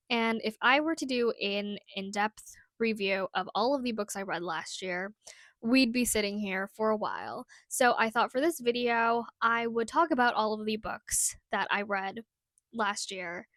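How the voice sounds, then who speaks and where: A woman's voice: on the high side, fairly bright tone, dry recording. A young woman with a cold, chatting casually through a recap of her year's reading as a book vlogger, with many fillers. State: fighting a cold.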